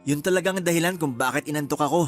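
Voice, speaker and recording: A man's voice: a little high, quick pace, close to an everyday voice. A man with a Filipino accent acting an affectionate, tired young husband in a Tagalog radio drama. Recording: music behind the voice.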